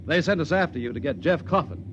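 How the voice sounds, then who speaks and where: An actor playing an authoritative space patrol major in a 1950s radio drama, commanding and matter-of-fact. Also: male voice, a little high, expressive, fast talker, lightly acted. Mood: firm, official. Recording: muffled, noisy vintage radio recording.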